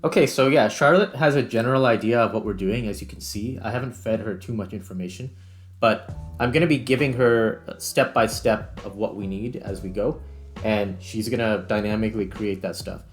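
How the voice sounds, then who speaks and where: A man's voice: lowish voice, brisk. Male tutorial host, middle-aged game developer, casual delivery, music underneath. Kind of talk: casual screen-recorded tutorial narration.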